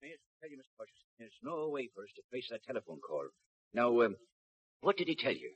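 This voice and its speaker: Brisk, husky police inspector. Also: male voice, medium pitch, sing-song. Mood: businesslike. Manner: clipped, official. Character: brisk, businesslike male police inspector, authoritative. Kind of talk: 1950s radio acting. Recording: dull, muffled 1950s radio recording.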